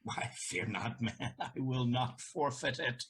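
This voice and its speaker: An actor playing a proud, scornful elderly merchant in a Shakespearean audio drama, with a haughty, declamatory delivery. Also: male voice, medium pitch, takes it slowly, a bit bright, slight background noise. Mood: confident.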